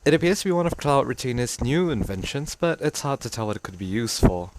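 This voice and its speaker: A man's voice: medium pitch, very animated, fast, rough recording. A man streaming a game playthrough, casually commentating with wry asides and reading on-screen text aloud. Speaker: streamer playing through a game.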